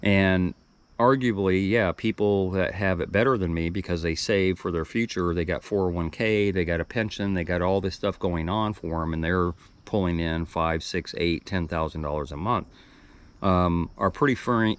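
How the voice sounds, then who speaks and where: Man, mid-sixties, very low voice, casual reflective vlog delivery, wanders and restarts mid-thought. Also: normal speed, smooth. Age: 60s. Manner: rambling, thoughtful, with restarts and trailing asides. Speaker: video blogger.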